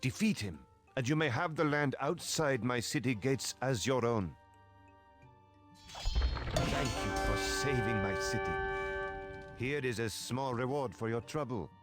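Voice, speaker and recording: A courteous medieval ally voiced by a man offers counsel in a medium-pitched voice. He is formal and deferential, as scripted game dialogue, with soft music behind him. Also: unhurried, pauses often, lightly acted. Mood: reassuring. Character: courteous medieval male ally advising a knight.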